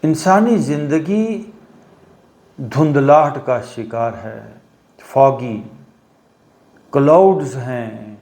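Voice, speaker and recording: A man teaching guided meditation, giving instructions in short phrases with long pauses between them. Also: medium pitch, animated, a little mellow. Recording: roomy echo on the voice.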